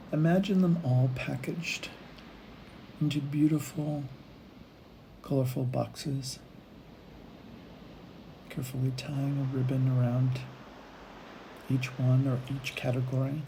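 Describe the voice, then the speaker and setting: A woman leading a guided meditation, speaking in unhurried phrases with long pauses between them. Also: very deep voice, dark tone, slight background noise, rough recording. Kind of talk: guided meditation, gentle imagery narration.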